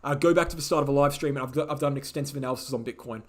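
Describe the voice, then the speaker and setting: A man hosting a live question-and-answer stream, speaking in a casual, opinionated way, repeating key phrases for emphasis, his voice slightly croaky. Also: mid-range voice, rapid-fire. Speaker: host answering viewer questions. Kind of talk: casual livestream Q&A.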